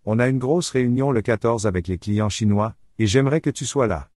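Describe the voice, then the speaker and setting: A man's voice: medium pitch, brisk, darkish tone, no room sound, clean studio sound, lightly acted. A man playing a courteous boss leaving a scripted work voicemail. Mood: courteous, businesslike.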